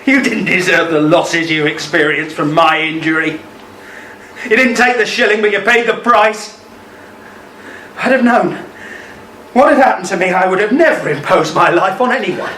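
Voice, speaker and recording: An actor playing a guilt-ridden, middle-aged ex-serviceman in a radio play, halting and full of self-reproach. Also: high voice, pushed and bright. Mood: weary, regretful. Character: guilt-ridden ex-serviceman husband, injured Cold War test veteran. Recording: roomy, distant mic, faint background noise.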